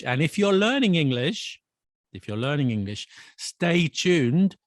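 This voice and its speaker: A man hosting a casual language podcast, chatty and quick with asides and restarts. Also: a little high, dry recording, clean studio sound. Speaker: podcast host and English teacher. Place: Paris.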